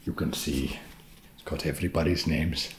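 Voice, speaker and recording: Man doing an awkward, very deep-voiced vampire housemate. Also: roomy sound, slight background noise. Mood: awkwardly welcoming. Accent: put-on foreign vampire accent. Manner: halting, deadpan, awkwardly friendly. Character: awkward, eager male vampire housemate desperate to seem trendy. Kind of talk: soft-spoken comic ASMR roleplay.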